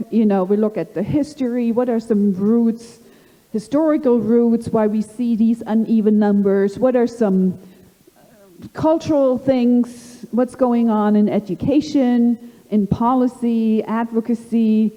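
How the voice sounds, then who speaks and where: A middle-aged woman with a slight German accent hosting a live panel, halting and full of fillers. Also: on the high side, warm tone. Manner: halting, many fillers and restarts. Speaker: panel host. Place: conference hall. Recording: long hall reverb.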